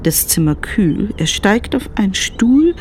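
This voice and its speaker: A woman giving a measured, scripted narration in a radio drama. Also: mid-range voice, very animated, a little mellow, slight background noise.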